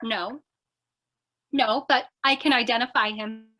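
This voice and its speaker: Bright, high-voiced scatterbrained girl reporter played by a woman. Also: steady tone, unhurried. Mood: eager, placating. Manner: chattery, naive-sounding, theatrical. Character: plucky, scatterbrained, fast-thinking female reporter in danger. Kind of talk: old-time radio screwball drama acting.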